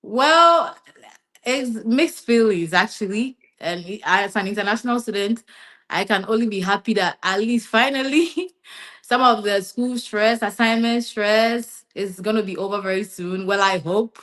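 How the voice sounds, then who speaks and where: A young adult woman from Ghana, a master's student answering interview questions in a relaxed, rambling way and often tagging on "yeah" and "basically.". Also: medium pitch, unhurried. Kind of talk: casual interview chat.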